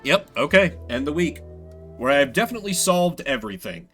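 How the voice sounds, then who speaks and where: A male game streamer commenting casually, with wry sarcasm. His voice is bright and moves around a lot, over light background music. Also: on the high side, very animated, fairly quick.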